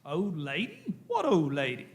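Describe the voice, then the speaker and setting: A man playing a brusque police desk sergeant in a radio play. His voice is high and jumps around with lively swings. He questions and echoes back what he hears, baffled. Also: a little slow, heavily acted. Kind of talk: live radio-play acting.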